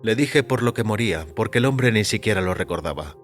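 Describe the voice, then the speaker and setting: A man narrating a scripted first-person story in a measured, literary way. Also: lowish voice, animated, faint music behind.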